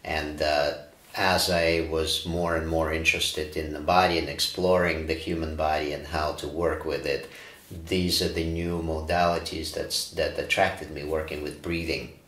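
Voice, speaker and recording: A man in his forties from Ukraine, a breathwork teacher, answering interview questions in a calm, reflective way with frequent fillers. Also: very deep voice, normal speed. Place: bright studio room, indoors. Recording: roomy studio echo.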